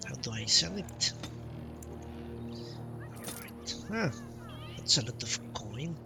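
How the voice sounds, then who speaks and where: Slow-talking man muttering through a game stream. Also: medium pitch, big pitch swings, vocal fry. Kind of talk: casual live game playthrough commentary, thinking aloud. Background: game music.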